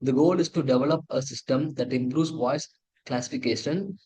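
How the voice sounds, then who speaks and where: Male tutorial presenter, Indian accent, mid-pitched, stop-start delivery with repeats and self-corrections. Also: dark tone. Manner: halting, repetitive, self-correcting. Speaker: presenter of an engineering project demo. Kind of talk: tutorial walkthrough, partly read from slides.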